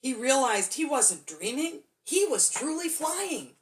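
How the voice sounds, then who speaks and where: A woman's voice: high voice, big pitch swings, unhurried, slight background noise. A woman storyteller reading a children's bedtime story expressively, drawing out key words for dramatic emphasis.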